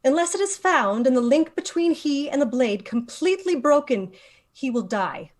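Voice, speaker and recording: A woman's voice: high voice, expressive, normal speed. A woman playing a commanding, composed leader of a magical order in a screenplay table read. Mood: measured authority.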